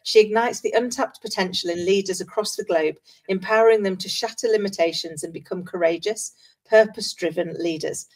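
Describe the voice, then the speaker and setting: A woman's voice: medium pitch, fairly quick, a little mellow, low-quality recording. A woman hosting an interview show, effusive and encouraging as she introduces and chats with her guest. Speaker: podcast host interviewing a guest. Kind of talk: warm introduction and conversational interview.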